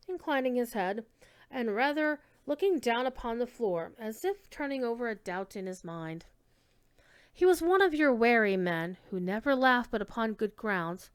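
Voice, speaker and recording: A woman reading old-fashioned literary prose aloud as a dramatic storyteller, with expressive, measured delivery. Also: fairly high pitch, clear, resonant tone, no room sound, clean studio sound. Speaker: reader giving a dramatic reading.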